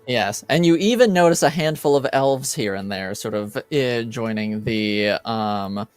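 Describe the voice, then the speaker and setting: A man running a tabletop roleplaying game as game master, narrating in a halting way as he pieces scenes together. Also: medium pitch, clear, resonant tone, dry recording.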